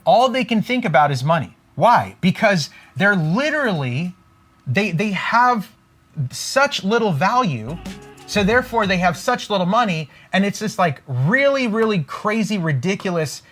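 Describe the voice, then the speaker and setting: Male business coach, slightly high voice with big swings in pitch, emphatic whiteboard pitch to viewers. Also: big pitch swings, measured pace, a bit bright, faint music behind. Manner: emphatic, keeps asking "right?" and "okay?". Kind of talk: motivational training at a whiteboard. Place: room with a whiteboard.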